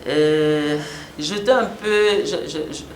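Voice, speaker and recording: Interview guest: low-voiced woman, halting delivery, echoey room. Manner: halting, repeats words while searching for French. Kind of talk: personal testimony in conversation. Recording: echoey room, faint background noise.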